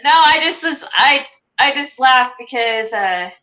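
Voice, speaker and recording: A woman guest on a livestream panel who talks haltingly, with many fillers, and giggles often. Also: high voice, expressive, bright, forceful tone, poor audio. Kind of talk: casual panel chat.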